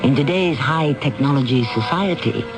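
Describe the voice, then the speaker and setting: A man hosting a 1970s radio mystery with measured, portentous scripted narration. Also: a little high, noisy background. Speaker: radio drama host.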